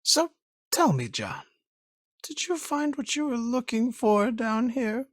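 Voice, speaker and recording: An actor playing a smug, mocking psychopath who talks in a theatrical, condescending, taunting way in a video game cutscene. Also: male voice, extremely high pitch, sing-song, slow, fairly bright tone, no room sound, clean studio sound, exaggerated voice. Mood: taunting, menacing. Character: smug, mocking male psychopath toying with a detective.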